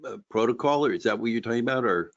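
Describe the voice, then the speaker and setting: A man speaks quickly at a medium pitch, casually putting technical questions. His voice comes over slightly dull, lo-fi stream audio. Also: lively intonation.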